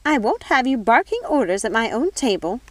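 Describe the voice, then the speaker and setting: Brief: storybook fairy godmother, old, prim and bossy, very high and swooping, full of officious indignation. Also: sing-song, fairly quick, dry recording.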